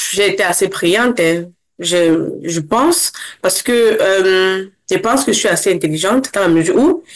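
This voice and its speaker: A young woman from Cameroon talks through her path as a guest in a casual interview. She speaks quickly and restarts her sentences often, in a voice of middling pitch. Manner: fast, with many restarts. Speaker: interview guest, former scholarship holder.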